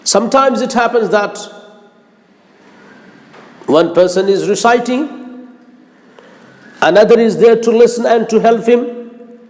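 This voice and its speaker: A man giving an instructive religious lecture as a teacher, deliberate and emphatic, repeating phrases for weight. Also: sits high. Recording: long hall-like reverb.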